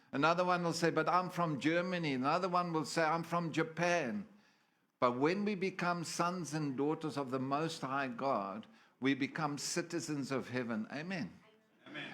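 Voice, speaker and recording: A man preaches in church in a playful, storytelling way, often stopping to ask for an amen. His voice sits a bit higher than most men's. He jokes with the crowd between scripture readings. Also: fairly bright tone. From South Africa. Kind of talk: sermon.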